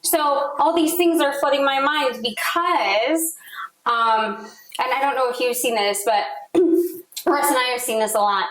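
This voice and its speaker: A woman in her forties speaks quickly and chattily, often finishing her co-host's sentences. Her voice sits a little high. The echoey room rings around her. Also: fairly bright tone. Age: 40s. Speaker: financial advisor, podcast co-host. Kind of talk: casual podcast discussion.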